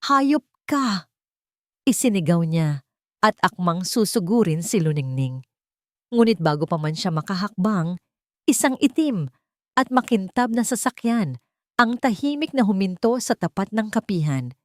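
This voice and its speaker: A woman's voice: mid-range voice, expressive, normal speed, no room sound, clean studio sound. A woman with a Filipino accent reading a scripted dramatic story as its narrator.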